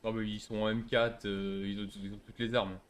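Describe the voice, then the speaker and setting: A man's voice: fairly low pitch, little pitch movement, moderate pace, a little hiss or hum. A man chatting casually as a player in online game voice chat, with a mocking, slangy way of talking.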